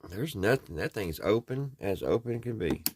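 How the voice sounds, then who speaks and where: A man's voice: on the low side, sing-song, moderate pace, a little mellow, a little hiss or hum. An American man talking casually and folksily, thinking aloud as he hosts a hands-on repair vlog. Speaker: host of a radio repair video.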